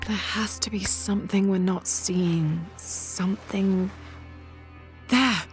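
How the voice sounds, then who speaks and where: Game archaeologist heroine woman actor: mid-pitched, measured, inquisitive and determined, over a music bed. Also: fairly even intonation, close to an everyday voice. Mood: thoughtful. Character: determined female archaeologist adventurer, young, thoughtful and inquisitive. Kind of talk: video game cutscene acting. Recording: music under the voice.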